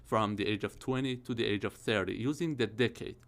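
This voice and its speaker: A man's voice: fairly low pitch, a little fast, creaky, a bit bright. Man, early thirties, English teacher, patient step-by-step grammar explanation, keyboard typing behind. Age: 30s. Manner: explaining step by step with repeated checks. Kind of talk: IELTS grammar lesson.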